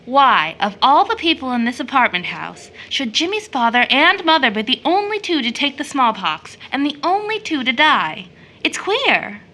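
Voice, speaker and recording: A woman's voice: high-pitched, sing-song, moderate pace, pushed and bright, exaggerated voice. A woman playing an earnest, affectionate but troubled young daughter in an audio drama. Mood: pensive.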